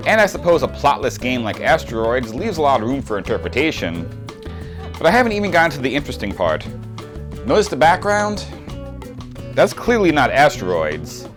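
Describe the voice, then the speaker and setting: A man in his early forties delivers scripted review narration with a wry sense of humour. His mid-pitched voice moves up and down in a lively way, with music playing behind. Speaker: record reviewer, presenter.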